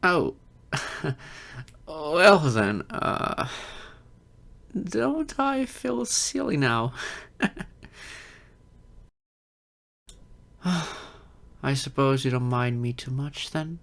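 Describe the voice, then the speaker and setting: A man's voice: on the high side, big pitch swings, extremely slow, creaky, pauses often, a bit bright, full character voice. A man playing an insecure, geeky adult silver fox in an audio roleplay, hesitant, stammering and self-deprecating. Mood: relieved, sheepish. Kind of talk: ASMR-style audio roleplay acting.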